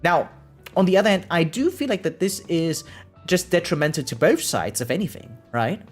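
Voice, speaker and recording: A man's voice: a little high, animated, moderate pace, faint music behind. A man who streams games, giving informal, emphatic livestream commentary and often checking for agreement. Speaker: variety game streamer, host. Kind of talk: casual livestream commentary reacting to chat.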